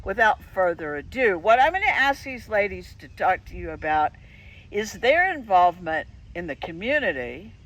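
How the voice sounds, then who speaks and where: A woman's voice: medium pitch, big pitch swings, moderate pace, a bit bright, far from the mic. A woman hosting a casual interview outdoors, introducing guests and asking questions in a conversational way. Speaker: interviewer and host. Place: outdoors on a dirt and grass lot by a railroad track.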